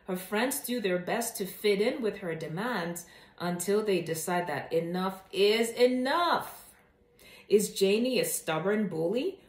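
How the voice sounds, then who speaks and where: A woman hosting a children's read-aloud and casual book review, speaking in an expressive way. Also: medium pitch. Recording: echoey room.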